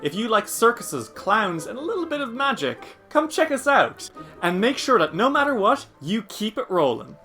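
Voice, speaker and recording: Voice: medium pitch, very animated, normal speed, bright, forceful tone. An announcer reading a scripted podcast advertisement in a playful, upbeat manner. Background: music.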